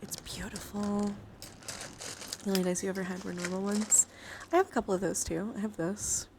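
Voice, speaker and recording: A woman livestreamer chatting casually in an ASMR style, halting and rambling as she thinks aloud. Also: mid-range voice, steady tone, background noise. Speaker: livestreamer showing a dice collection. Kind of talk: casual ASMR chat to viewers.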